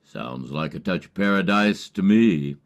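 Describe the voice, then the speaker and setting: A man playing a laconic, easygoing, dry-humored backwoods survivor in an audio drama, speaking tersely and deadpan. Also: deep voice, measured pace. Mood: calm, fond. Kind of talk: amateur audio drama acting.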